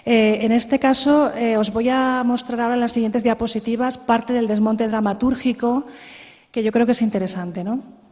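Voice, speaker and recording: A woman's voice: on the high side, steady tone, moderate pace, muffled recording. Spanish woman presenting in a reverberant hall. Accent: Castilian Spanish. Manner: informal, explanatory, seeks agreement with tags. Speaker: conference speaker. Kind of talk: presentation with slides. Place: large indoor conference room.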